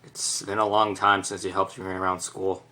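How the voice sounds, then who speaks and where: A man's voice: low-pitched, flat delivery, moderate pace, pushed and bright, poor audio. A young man playing an awkward, sweet teenage boy in an amateur drama, hesitant and self-conscious. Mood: awkward, sad. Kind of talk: amateur stage drama monologue.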